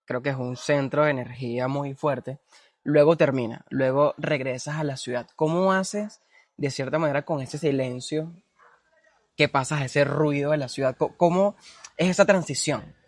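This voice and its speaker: A man's voice: a little high, moderate pace, no room sound. A man hosting an interview, enthusiastic and full of restarts. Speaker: interviewer, host. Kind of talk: interview conversation.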